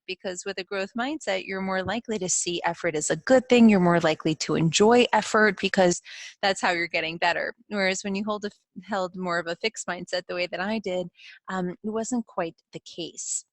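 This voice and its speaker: A woman's voice: mid-range voice, a little fast, dry recording. A middle-aged woman from Ukraine, a native Russian speaker, giving an earnest, informal lesson to camera, often restarting mid-phrase and putting questions to the viewer. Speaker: CEO and founder of a positive psychology school. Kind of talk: informal educational talk to camera.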